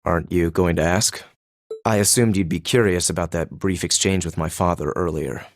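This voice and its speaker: Game role: cynical young aristocrat, lowish voice, formal and dry, close studio sound. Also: male voice, moderate pace, no room sound. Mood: calm, curious. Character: reserved, cynical young illegitimate son of a duke. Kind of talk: English-language game voice acting.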